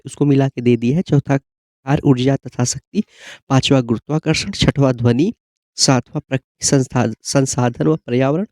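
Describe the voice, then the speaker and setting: A man gives an informal explanatory exam-prep lesson, often pausing to check that listeners follow. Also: mid-range voice, dark tone, dry recording. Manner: repeated check-in tags like "okay?".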